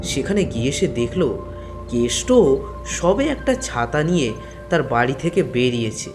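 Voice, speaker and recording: A man's voice: medium pitch, very animated, unhurried. A man reading a scripted village story as its narrator, in storytelling style. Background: background music.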